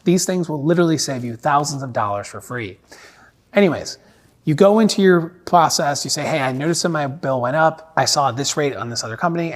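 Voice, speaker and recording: A man hosting an explainer monologue, talking in a conversational, instructive way. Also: fairly high pitch. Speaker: host. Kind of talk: explainer monologue giving money tips.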